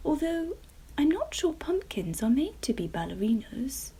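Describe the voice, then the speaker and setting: A woman voicing a calm, gentle mother pumpkin who speaks in a soft, measured way. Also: sits high, pitched above the performer's own voice, full character voice.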